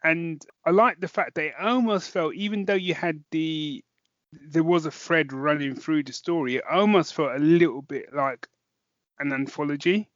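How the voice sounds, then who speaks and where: A man's voice: on the high side, moderate pace, a bit bright, no room sound, dull audio. A man co-hosting a podcast, chatting casually about a review and thinking aloud as he goes. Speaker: podcast co-host.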